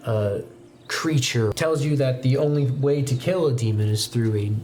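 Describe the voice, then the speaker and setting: A man working as game master, narrating a tabletop roleplaying game in a dramatic, halting way with informal asides. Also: medium pitch, darkish tone, a little hiss or hum.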